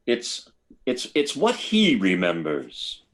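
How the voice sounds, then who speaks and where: Male actor as placating doctor, sci-fi radio drama: unhurried, stammering, lively intonation. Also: medium pitch, full character voice. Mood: measured, hesitant. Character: cautious, soothing male doctor at a test base. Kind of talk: live performance of 1950s sci-fi radio drama.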